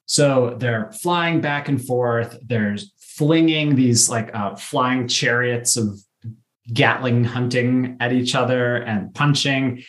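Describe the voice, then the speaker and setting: A man chatting through a casual plot recap as a podcast co-host, telling it in an animated way with acted-out dialogue. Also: medium pitch, takes it slowly.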